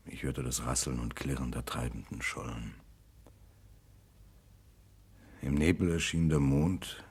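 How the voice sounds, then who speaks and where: A man's voice: extremely low pitch, husky, heavily acted. An actor playing a remorseful man tormented by memories of lost love, narrating earnestly and lyrically in a 1960s German radio play. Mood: sombre.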